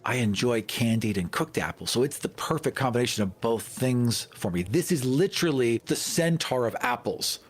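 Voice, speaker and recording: A man's voice: medium pitch, lively intonation, moderate pace, few pauses, a little hiss or hum. Opinionated man chatting at a game table. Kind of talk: casual game-table chat.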